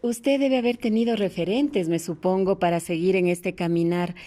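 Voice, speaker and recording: A woman's voice: fairly low pitch, normal speed, mellow. A woman asking respectful, formally worded interview questions at some length.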